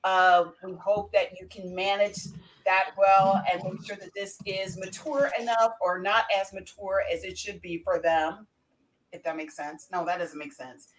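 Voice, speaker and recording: A woman's voice: mid-range voice, little pitch movement, measured pace, poor audio. A woman hosting a casual live show in an informal, rambling way, often correcting herself as she goes. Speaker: talk show host.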